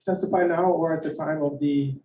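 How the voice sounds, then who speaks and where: A man chairing a public planning meeting, reading the procedural rules in a measured, formal way. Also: on the high side, steady tone, dark tone, distant mic, slight background noise. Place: meeting hall. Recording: dull, roomy audio from off-mic.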